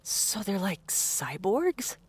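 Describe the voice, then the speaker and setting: A woman's voice: medium pitch, very animated, slow, husky, heavily acted. An actress playing a tall, bold, decisive woman survivor in an audiobook drama, with a blunt, assertive manner. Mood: curious, observing.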